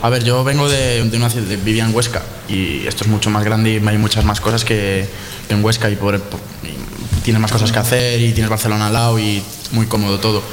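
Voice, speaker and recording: A man, a football player, answering calmly and matter-of-factly. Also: fairly low pitch, a little fast. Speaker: footballer guest. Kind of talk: casual chat. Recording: reverberant room with clear background noise.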